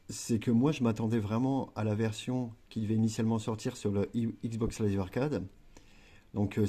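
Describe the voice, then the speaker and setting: Man with a low, mellow voice. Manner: chatty and rambling, with many fillers and self-corrections. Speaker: gaming video creator. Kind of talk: casual gameplay tutorial.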